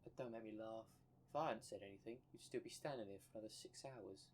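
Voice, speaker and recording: A man's voice: fairly low pitch, quick pace, darkish tone, slight background noise, lightly acted. A man playing the impatient, sarcastic half of a dream demon in an ASMR roleplay, blunt and slangy. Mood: needling. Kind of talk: ASMR roleplay audio drama.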